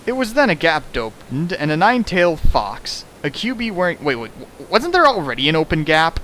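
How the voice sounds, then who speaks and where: Sarcastic guy hamming up a fanfic reading, lively swoopy voice, bit of hiss. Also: fairly high pitch, fairly quick, rough recording. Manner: sarcastic, hammy. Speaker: co-host reading fan fiction aloud. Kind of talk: mocking dramatic reading with asides. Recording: faint hiss, home recording.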